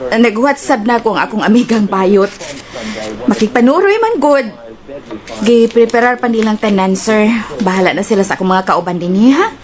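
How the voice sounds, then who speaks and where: A woman's voice: medium pitch, expressive, moderate pace, lightly acted. An actress playing a spirited young female TV station worker in a radio drama, with a Cebuano Filipino accent. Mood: breezy.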